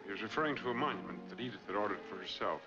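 An American actor playing an uneasy, guarded middle-aged husband in a 1940s film noir. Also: male voice, on the low side, expressive, racing, lightly acted. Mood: evasive. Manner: guarded, evasive. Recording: dull 1940s film soundtrack, loud music.

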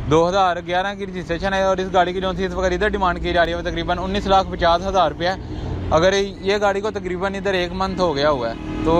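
Pakistani man giving car market patter outdoors. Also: high voice. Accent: Pakistani Urdu. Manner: brisk, repetitive salesman patter listing prices and features. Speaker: used car market presenter. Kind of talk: walk-around car market vlog. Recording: noisy outdoor street recording.

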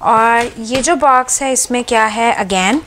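A woman's voice: fairly high pitch, takes it slowly, fairly bright tone, slight background noise. A woman hosting a home cooking vlog, chatting casually as she shows how she organizes her fridge, slipping English words into her speech. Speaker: cooking channel host. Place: home kitchen.

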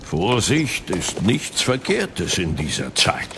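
A man voicing a solemn, watchful dragon with a deep, gravelly voice in a fantasy audio drama. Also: wildly swooping pitch, slow pace, exaggerated voice. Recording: clear background noise.

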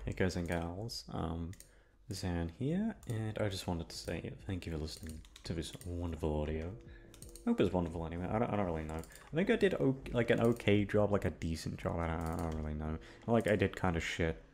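A man's voice: low-pitched, lively intonation, fairly quick, a little mellow, slight background noise. A man chatting casually to his listeners in a rambling, self-deprecating way. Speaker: voice actor, channel creator. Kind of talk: casual sign-off chat to listeners.

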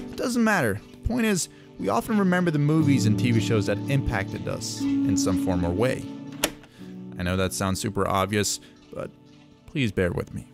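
A man hosts a scripted video essay in a conversational, reflective voice. His pitch sits in the middle of the male range. Music plays clearly underneath his narration. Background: background music.

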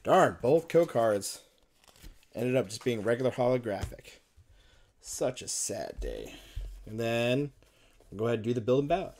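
A man in his thirties at home, casually reading out card names as he opens packs, with sudden bursts of excitement. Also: mid-range voice, normal speed, pauses often. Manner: lists card names in a running murmur, brief excited outbursts. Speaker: card-opening video host. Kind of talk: casual unboxing commentary. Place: home room with a desk. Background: crinkling card wrappers.

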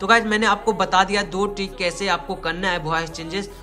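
A man's voice: high voice, fairly even intonation, a little fast, pushed and bright, faint music behind. A young man narrating a step-by-step tutorial, addressing viewers directly and repeating himself. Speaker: tech tutorial YouTuber.

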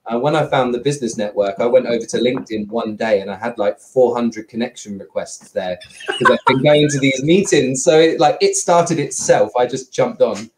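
Relaxed, rambling man on a video call. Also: mid-range voice, a little hiss or hum, low-quality recording. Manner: relaxed, rambling, quick to laugh. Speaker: guest. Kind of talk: casual interview answers. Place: living room at home.

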